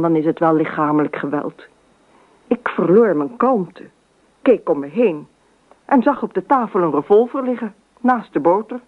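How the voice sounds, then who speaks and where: An actress playing a dreamy, imaginative, talkative wife in a radio drama, with expressive theatrical delivery. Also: female voice, lowish voice. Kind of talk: radio drama monologue and dialogue. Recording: tinny, narrow old radio recording.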